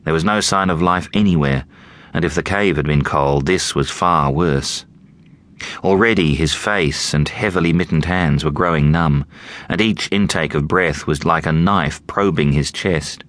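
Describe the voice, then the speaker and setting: A man narrating an audiobook in a measured, deliberate storytelling manner. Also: rumbling low, a bit bright, dry recording.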